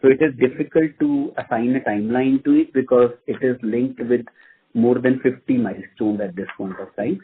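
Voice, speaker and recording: Husky Indian man on a phone line. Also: medium pitch, normal speed. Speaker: company finance executive on an earnings call. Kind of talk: answering investor questions on a conference call. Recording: muffled narrow phone-line audio.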